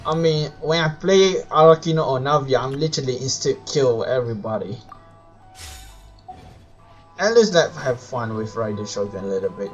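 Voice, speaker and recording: Male game streamer, non-native English, highish lively voice, playful, casual, muffled mic, music behind. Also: normal speed. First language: not English. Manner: playful, informal. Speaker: live streamer. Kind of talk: casual gaming live-stream chat.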